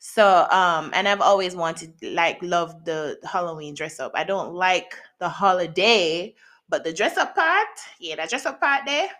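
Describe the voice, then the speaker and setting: Woman, late twenties, solo podcast host; unhurried, candid, playful slang, laughs a lot. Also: lowish voice, animated, a bit bright. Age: late 20s. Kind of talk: casual solo podcast chat. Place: home in Japan.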